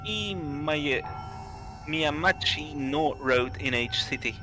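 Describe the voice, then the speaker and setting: A man reading visual novel dialogue and narration aloud on a livestream, halting and stumbling over some words. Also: fairly high pitch, over music.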